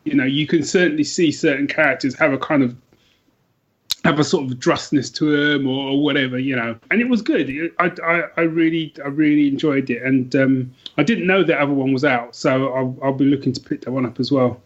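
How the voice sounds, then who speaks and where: A man's voice: medium pitch, fairly quick. A man chatting casually as a podcast guest about books, enthusiastic and rambling as he corrects himself, on dull, lo-fi audio. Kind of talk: casual conversational book chat.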